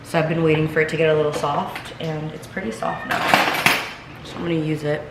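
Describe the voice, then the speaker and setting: Congested low-voiced woman chatting at home. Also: little pitch movement, brisk. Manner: chatty, filler-heavy, talks straight to viewers. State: congested, recovering from illness. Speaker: vlogger. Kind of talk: casual chatty vlog. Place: home kitchen, then seated at home. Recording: echoey room with noticeable background noise.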